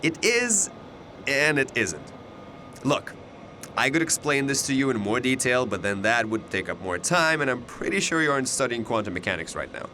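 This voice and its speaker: A man's voice: medium pitch, big pitch swings, moderate pace, bright, forceful tone, a little hiss or hum. A man playing a cocky, chatty young double who knows it all, with a glib, teasing way of talking in an audio drama. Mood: chatty, wry. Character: cocky, chatty, all-knowing alternate-universe male double of a student.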